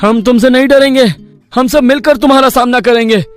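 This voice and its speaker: Man playing a high-pitched, brave village hero. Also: a little fast, soft background music. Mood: defiant. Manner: earnest, rallying, declamatory. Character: brave, determined male village friends defying a demon. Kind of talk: animated story dialogue.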